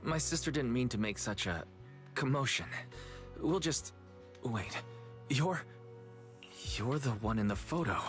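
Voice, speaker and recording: A man's voice: mid-range voice, expressive, measured pace, pauses often, close to an everyday voice. An actor playing a polite, easygoing young man with a mild, courteous manner in a video game. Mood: flustered, apologetic. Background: game music.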